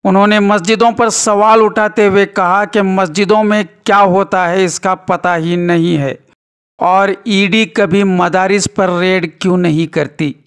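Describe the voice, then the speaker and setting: A man's voice: high-pitched, steady tone, a little slow, a bit bright, clear, resonant tone. A man reporting news as scripted narration with commentary, in formal, rhetorical phrasing.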